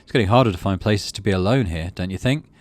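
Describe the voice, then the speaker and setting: A man's voice: low voice, quick pace, close to an everyday voice. A man voicing a restless, dreamy teenage boy in a video game, earnest, complaining and wistful.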